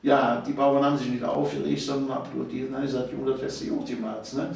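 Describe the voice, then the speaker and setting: A man's voice: mid-range voice, little pitch movement, fast talker, gravelly, a little mellow. Very reverberant, distant recording: male interview guest, seventies, emphatic conversational storyteller. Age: 70s. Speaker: interview guest, author of local history books. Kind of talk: conversational reminiscence. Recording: echoey room, distant microphone.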